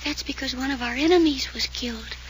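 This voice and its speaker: Woman playing high-voiced, frightened, eerie child. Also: fairly quick, natural voice. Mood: eerie, insistent. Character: frightened, eerily insistent child in pajamas. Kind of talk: 1940s radio mystery acting. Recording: noisy old radio transcription.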